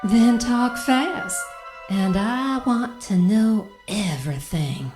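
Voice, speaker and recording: A woman's voice: medium pitch, lively intonation, takes it slowly, over music. An actress playing a defiant, bold woman in an audio drama, with a taunting, dramatic delivery. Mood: firm, demanding. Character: defiant, bold woman who is tougher than she looks.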